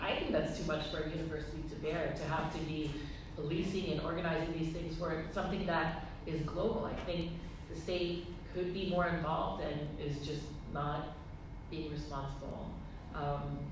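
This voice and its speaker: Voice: medium pitch, steady tone, moderate pace, hardly any pauses. A speaker at a public panel, talking in a hesitant, self-deprecating way. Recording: echoey room, far-off mic, noisy.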